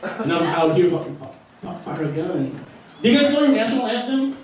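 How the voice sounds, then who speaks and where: A man's voice: high voice, very animated, brisk, darkish tone, a little hiss or hum. Filipino-accented man doing stand-up, echoey. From Philippines. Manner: loose, profane, laughing at his own jokes. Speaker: stand-up comedian. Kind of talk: stand-up set. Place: small record store stage. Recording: roomy, distant live recording.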